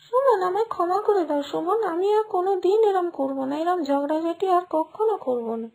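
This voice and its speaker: A woman's voice: very high-pitched, a little fast, darkish tone, pitched above the performer's own voice. A woman voicing a quarrelsome, defiant young bride, the younger daughter-in-law in a cartoon family tale. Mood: remorseful. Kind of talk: Bengali moral-story cartoon voice acting.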